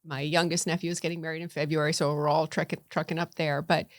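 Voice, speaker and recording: Chatty lower-voiced woman, middle-aged. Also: little pitch movement, fast, no room sound, clean studio sound. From Connecticut, USA. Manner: chatty, laughs easily. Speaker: county commissioner and guest. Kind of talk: casual interview chat.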